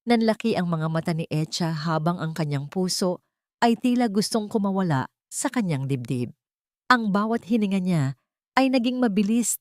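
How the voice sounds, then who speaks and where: A woman's voice: lowish voice, darkish tone, no room sound, studio quality. A woman reading scripted dramatic narration as a storyteller.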